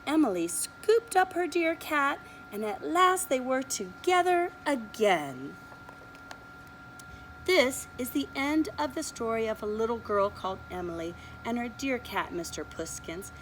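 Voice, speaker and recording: A woman reading a picture book to small children, taking her time over each line. Her high voice rises and falls a lot as she acts out the story, with a faint hiss underneath. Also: rough recording. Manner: slow, expressive read-aloud, showing pictures. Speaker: preschool teacher.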